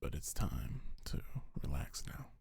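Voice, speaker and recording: A man speaking gentle, soothing ASMR affirmations in a breathy, husky half-whisper, unhurried and close to the microphone. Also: extremely low pitch, sing-song, no room sound. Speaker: ASMR creator. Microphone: close microphone, dry.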